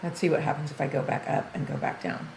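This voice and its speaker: Gravelly-voiced grandma gamer, echoey room. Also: female voice, low-pitched, big pitch swings, quick pace, a little mellow, a little hiss or hum. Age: older. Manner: chatty, thinking aloud while playing. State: voice going hoarse. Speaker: gaming streamer. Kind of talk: game tutorial livestream commentary.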